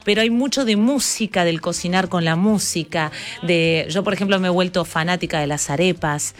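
A woman's voice: lowish voice, normal speed. An Argentine woman in her forties speaks with a Rioplatense accent. She talks as a guest in a casual interview. Her tone is playful and self-mocking, and she laughs often. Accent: Rioplatense Spanish. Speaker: actress and stage show guest.

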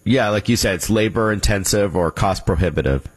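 Brief: low husky male podcast host, conversational and upbeat. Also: brisk. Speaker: interviewer and journalist. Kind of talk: podcast interview hosting.

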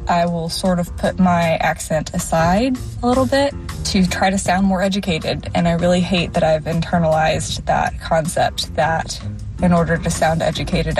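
A woman's voice: fairly low pitch, a little mellow. A woman listener giving a personal recorded message.

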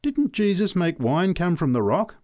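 A man's voice: mid-range voice, moderate pace, pitched above the performer's own voice, lightly acted. A man voicing an irreverent, argumentative drunk man in a storybook, heckling flippantly. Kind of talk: storybook character voice.

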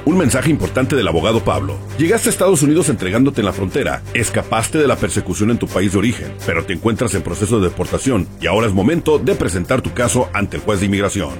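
A man reading a scripted radio advertisement as an announcer. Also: lowish voice.

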